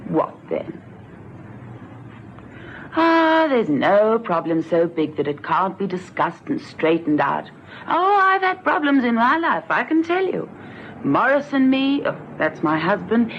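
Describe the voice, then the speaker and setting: An actress playing a fussy, motherly middle-aged wife in a vintage radio drama, chatty, scolding and melodramatic. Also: on the low side. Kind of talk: 1970s radio drama acting. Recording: dull vintage radio recording with noise.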